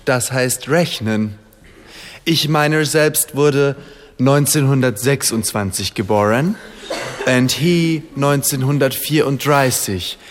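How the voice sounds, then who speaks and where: A man's voice: medium pitch, takes it slowly, close to an everyday voice. An actor playing an earnest, self-pitying language-course man, mixing English and stilted German in a radio play. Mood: instructive. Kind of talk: radio play dialogue in language-lesson manner.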